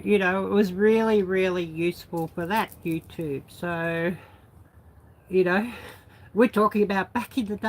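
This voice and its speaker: A woman's voice: lowish voice, low-quality recording. A woman vlogger reminiscing in a casual, unscripted way, rambling and colloquial, with lots of filler phrases. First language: English. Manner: rambling, colloquial, peppered with "you know" and "sort of". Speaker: YouTube vlogger.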